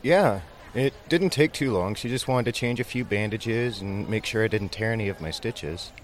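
Man playing low-voiced zombie survivor telling his story. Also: moderate pace, slight background noise, close to an everyday voice. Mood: friendly, casual. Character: injured male zombie-apocalypse survivor, easygoing and polite. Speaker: narrator and lead character. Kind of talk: audio drama narration and dialogue.

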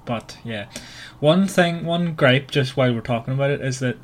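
A man's voice: medium pitch, normal speed, slight background noise. Northern Irish man chatting casually. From Northern Ireland. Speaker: podcast host. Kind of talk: casual book club discussion.

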